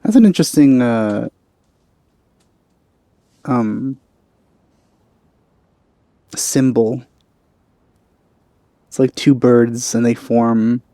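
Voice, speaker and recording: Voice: medium pitch, slow, pauses often, warm tone, dry recording. A let's player giving casual, offhand and wry gameplay commentary into a close microphone. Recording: close dry mic over game music.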